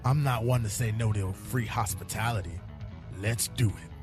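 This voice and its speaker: A man playing a world-weary, cynical police detective in a fan-made sci-fi noir audio drama, terse and deadpan. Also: on the low side, normal speed, over music, close to an everyday voice. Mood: businesslike, easygoing. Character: world-weary hard-boiled male police detective in space.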